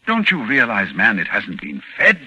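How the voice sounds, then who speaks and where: An actor playing a grave, horror-struck scholarly doctor, with dramatic pauses and halting repetitions, in 1940s radio drama style. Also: male voice, fairly low pitch, huge pitch swings, a little hiss or hum, heavily acted. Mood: urgent, grave. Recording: muffled 1940s radio, loud music.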